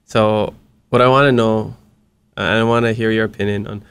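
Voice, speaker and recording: Male podcast host, Hawaiian as first language; low, smooth, earnest and encouraging. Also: steady tone, fairly bright tone. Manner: earnest, encouraging, quick asides. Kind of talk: casual interview chat.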